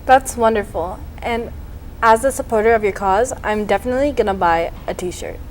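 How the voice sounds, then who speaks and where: A woman's voice: mid-range voice, moderate pace, a little hiss or hum, low-quality recording. A woman hosting a local TV interview show, upbeat and full of praise for her guest. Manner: upbeat, complimentary. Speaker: TV show host.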